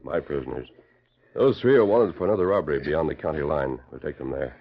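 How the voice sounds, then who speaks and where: An actor playing a calm, fair-minded masked Western hero with an American accent, speaking with terse authority in 1940s radio style. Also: male voice, extremely low pitch, fast, slightly rough, heavily acted. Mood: calm, assured. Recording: muffled 1940s radio recording.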